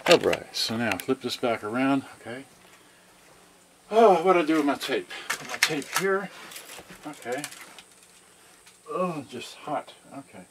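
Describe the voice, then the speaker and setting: Unhurried man muttering through a noisy demonstration. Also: medium pitch, animated. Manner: distracted, mumbling to himself as he handles things. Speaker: product reviewer. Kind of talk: casual unboxing demonstration. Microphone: camera on tripod, varying distance. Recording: clearly noisy home recording with clicks.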